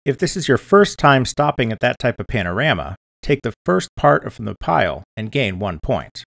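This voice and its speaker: Man, scripted how-to narration, step-by-step delivery, some vocal fry, very dry close recording. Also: medium pitch, very animated, moderate pace, fairly bright tone, no echo. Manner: clear, methodical, step-by-step explaining. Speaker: board game tutorial presenter.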